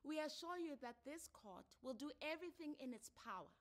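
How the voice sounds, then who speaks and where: A woman voicing a measured, formal female court counselor who questions deliberately in a dramatised story reading. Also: sits high, lively intonation, voice pushed up. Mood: firm, explanatory. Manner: deliberate, questioning, drawn-out phrasing.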